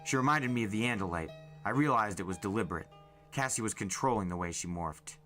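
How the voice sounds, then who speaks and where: A man's voice: on the low side, animated, quick pace, bright, forceful tone. A man reading a first-person novel aloud as a dramatic storyteller. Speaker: storyteller, podcast host. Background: faint music.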